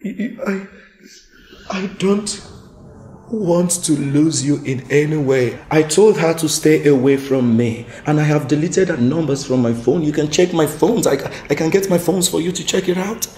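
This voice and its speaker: A man's voice: a little high, animated, takes it slowly, pauses often, darkish tone. An actor playing a remorseful man who pleads with his partner for forgiveness. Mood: pleading. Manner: pleading, apologetic. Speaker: actor in a relationship skit. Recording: echoey room with faint music.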